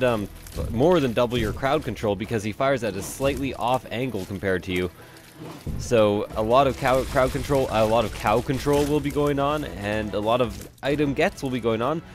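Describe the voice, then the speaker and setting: A congested young man giving casual, rambling let's-play commentary and cursing now and then. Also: medium pitch. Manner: rambling, chatty, swears casually. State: stuffy, congested. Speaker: gameplay commentator.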